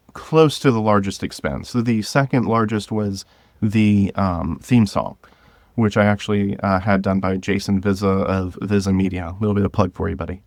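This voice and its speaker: A man's voice: lowish voice, darkish tone, no echo, clean studio sound. A man talking casually as an interview guest, thinking aloud and correcting himself as he goes. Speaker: guest, independent audio drama creator.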